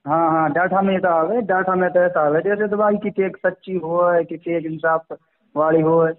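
A man's voice: fairly high pitch, fairly even intonation, normal speed. A man with a Mewati accent, a caller chatting casually and inquisitively on a recorded phone call.

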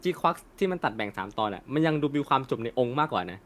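A man's voice: medium pitch, expressive, fast, fairly bright tone. A young man in a casual, opinionated discussion as a co-host, talking colloquially with swearing and slang. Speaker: co-host of an anime discussion channel.

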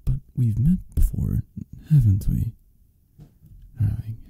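Man acting hushed, obsessed yandere kidnapper, low-pitched. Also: huge pitch swings, no echo, full character voice. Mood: nervous, coaxing. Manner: hushed, halting, breathy-soft delivery. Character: obsessed yandere male kidnapper, flirty, menacingly tender, possessive. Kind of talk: ASMR roleplay.

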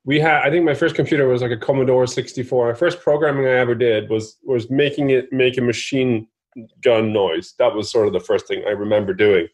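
A man's voice: medium pitch, fairly even intonation, fairly quick, darkish tone, breathy edge. A man in his forties from Norway, chatting casually and rambling self-deprecatingly as an interview guest on a video call. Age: 40s. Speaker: interview guest, startup investor.

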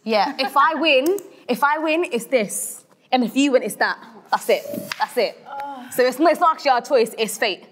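A woman in her twenties chatting excitedly and casually in slang as a panelist on a guessing game show. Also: high voice, normal speed, slight background noise. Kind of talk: lively casual group chat. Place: studio, seated in a group.

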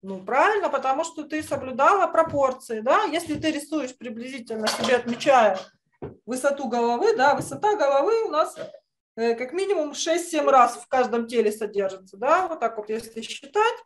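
Female art teacher, middle-aged, with a high-pitched voice, giving children encouraging step-by-step drawing instruction over a video call. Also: animated, moderate pace. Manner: encouraging, uses affectionate diminutives. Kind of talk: online drawing lesson for children. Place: indoor room, on a video call.

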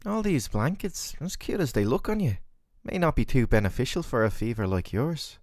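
A man's voice: medium pitch, big pitch swings, normal speed, a little mellow, no echo, studio quality. A man playing a worried, tender boyfriend in an audio roleplay, speaking in a soothing, earnest, affectionate way. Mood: gentle, caring. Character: worried, tender, doting boyfriend caring for his sick partner. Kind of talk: scripted boyfriend roleplay ASMR.

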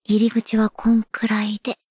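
An actress playing a brash, teasing delinquent high school girl in an ASMR voice drama, with husky, breathy, rough tomboyish speech. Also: monotone, normal speed, darkish tone, no room sound, clean studio sound, natural voice. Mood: soft, attentive. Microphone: close-up ASMR microphone.

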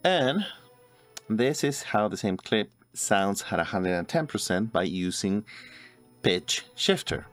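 A man's voice: lowish voice, very animated, takes it slowly, a bit bright. A man in his forties narrating a video editing tutorial step by step as host. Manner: step-by-step, instructional. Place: indoor room at a computer. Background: music.

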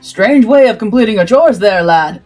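A woman voicing a gruff, fatherly, mountainous older male ship's cook, scolding indulgently in folksy seafarer's talk for a story. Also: mid-range voice, lively intonation, normal speed, darkish tone, faint music behind, lightly acted. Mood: teasing.

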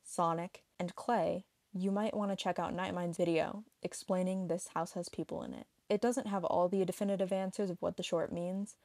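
A woman's voice: lowish voice, fairly even intonation, normal speed, hardly any pauses, darkish tone, dry recording. A woman reading a scripted explainer narration for an online video.

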